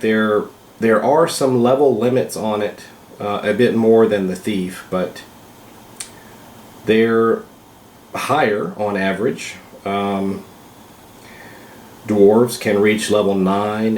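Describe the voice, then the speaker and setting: A man with a cold, sounding congested, hosting a casual vlog and explaining things slowly with many pauses and fillers. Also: fairly low pitch, darkish tone, reverberant, slight background noise. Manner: slow, hesitant, with many fillers. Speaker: vlog host.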